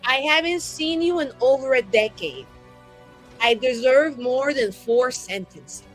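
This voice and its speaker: A woman's voice: high voice, a little slow, faint music behind, rough recording. A woman roleplaying an exasperated, loving sister who scolds her brother in blunt, sarcastic slang. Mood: demanding. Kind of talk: improvised in-character tabletop roleplay.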